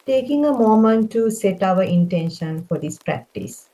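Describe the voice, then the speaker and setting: A woman leading a guided meditation, speaking in a gentle, calming way with unhurried phrasing. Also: mid-range voice, low-quality recording.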